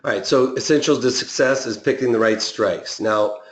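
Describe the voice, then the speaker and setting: A man with a raspy voice explaining trading strategy in a live online lesson, often pausing with fillers and restarting his sentences. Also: mid-range voice, reverberant. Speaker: options trading instructor.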